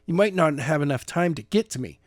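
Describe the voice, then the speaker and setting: A man's voice: on the high side, lively intonation, fairly quick, dry recording, studio quality, voice pushed up, lightly acted. A man reading a stubborn, defiant young man with powers in his own plain voice, pushing his point insistently. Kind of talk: amateur audiobook reading.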